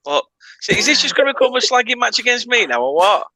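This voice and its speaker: Northern english lad hosting, late twenties, sharp bright voice, takes the piss out of himself. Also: male voice, very high voice, big pitch swings, quick pace. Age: late 20s. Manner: laid-back, self-mocking banter. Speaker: host. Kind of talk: casual chat on a video call.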